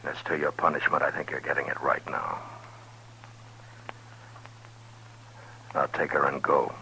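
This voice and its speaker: A man's voice: rumbling low, level tone, fast, gravelly, background noise. An actor playing a stern, weary, compassionate frontier lawman in a terse, grave 1950s radio western style. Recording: 1950s radio drama with music.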